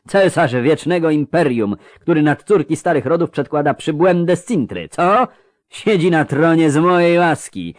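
Booming bass lord played by a man, aggrieved, power-hungry, emphatic, rumbling, storybook character. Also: darkish tone, dry recording, clean studio sound, natural voice. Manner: bellowing, emphatic.

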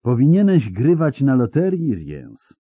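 A man's voice: mid-range voice, wildly swooping pitch, measured pace, dark tone, breathy edge, no echo, voice pushed up, heavily acted. A man voicing a cruel, mocking middle-aged male interrogator who taunts his prisoner in a cold, sneering way. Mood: sardonic. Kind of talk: audiobook character reading.

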